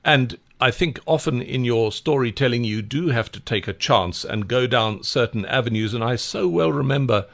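Gravelly, lively-voiced male radio host. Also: medium pitch, moderate pace, hardly any pauses. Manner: conversational, reflective. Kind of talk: radio interview hosting.

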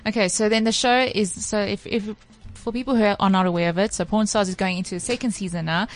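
A woman's voice: medium pitch, steady tone, quick pace, faint music behind. A woman co-hosting a radio show in a studio, friendly and steering the interview. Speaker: radio co-host.

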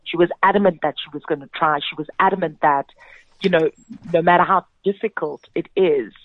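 A woman's voice: lowish voice, brisk, no echo. South African woman on a phone-like line. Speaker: theatre director, interview guest. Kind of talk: radio interview conversation. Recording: narrow phone-like sound.

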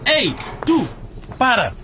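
An actor playing an officious, stern school guard who barks orders in a radio drama. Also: male voice, extremely high pitch, wildly swooping pitch, takes it slowly, noisy background, full character voice. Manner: barking orders.

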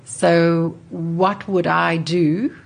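Low-voiced older woman, measured and reflective. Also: level tone, creaky, breathy edge. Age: 60s. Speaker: interview guest. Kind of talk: reflective personal storytelling in an interview.

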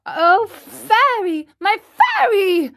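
A woman plays a stubborn little wooden puppet boy from a storybook. The voice is very high and swoops wildly, full of petulant wailing. It is whiny, melodramatic and drawn out. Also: lively intonation, takes it slowly, noisy background. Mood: panicked pleading. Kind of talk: storybook character reading.

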